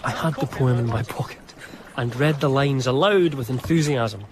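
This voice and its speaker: A man playing an earnest young gentleman diarist, narrating a period radio drama in a measured, confiding, literary way. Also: medium pitch, noisy background, natural voice. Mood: pleased, reflective.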